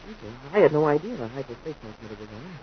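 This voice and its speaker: A man's voice: mid-range voice, very animated, fast, natural voice. An American man playing an eager young space cadet sidekick in 1950s radio adventure style, boyish, breathless and stammering. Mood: eager, curious. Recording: dull, noisy 1950s radio recording.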